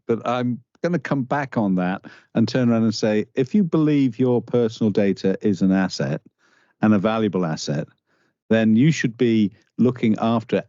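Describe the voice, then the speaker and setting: A middle-aged man with a Northern Irish accent, a guest in a conversational interview, speaking haltingly with many restarts. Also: fairly low pitch, dark tone, dry recording. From Northern Ireland. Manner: halting, restarts often, earnest. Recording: dull lo-fi dry sound.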